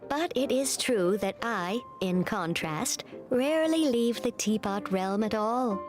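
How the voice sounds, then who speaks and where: Polite young scholarly woman, lively intonation. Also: a little high. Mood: polite, explanatory. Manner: formal, measured, articulate. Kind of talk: scripted video game dialogue. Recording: game audio with music underneath. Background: game music.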